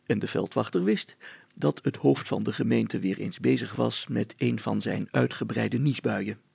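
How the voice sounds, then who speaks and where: A man narrating a scripted children's story as a storyteller, in a deliberate, old-fashioned way. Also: mid-range voice, creaky, a little mellow, husky, no echo.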